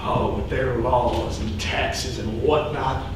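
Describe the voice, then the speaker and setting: In a very echoey, noisy theater recording, a man acts out a blustering pig farm boss. He speaks slowly, in emphatic folksy phrases, with the volatile, exasperated air of stage drama. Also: medium pitch, fairly even intonation, recorded from a distance, natural voice. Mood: stern, insistent. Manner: folksy rural phrasing, emphatic, drawn-out delivery. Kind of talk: theatrical stage play acting. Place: theater stage, filmed live from a distance.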